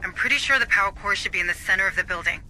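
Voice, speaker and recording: A woman playing a brisk, authoritative military officer in a video game, commanding and businesslike. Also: medium pitch, bright, forceful tone, slight background noise, rough recording. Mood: composed, businesslike.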